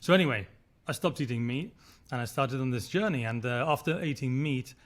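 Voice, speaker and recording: A man's voice: mid-range voice, lively intonation, fairly quick. A man, a resort founder telling his story in a rambling, casual way. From Spain. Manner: rambling, many restarts and filler words. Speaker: resort founder, podcast guest.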